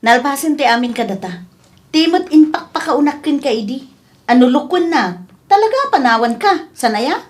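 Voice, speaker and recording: A woman playing an indignant, hurt but firm wife and mother in a radio comedy drama, sharp-tongued, with an Ilocano accent. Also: high-pitched, lively intonation, normal speed. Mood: firm, dismissive.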